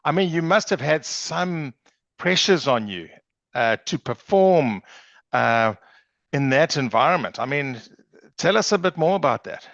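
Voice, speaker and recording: A gravelly man hosting a podcast, effusive and prone to restarting his questions. His voice sits at a middle pitch, dry and close, on a dull lo-fi recording. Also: animated, measured pace, a bit bright, no echo. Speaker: podcast host. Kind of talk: casual interview.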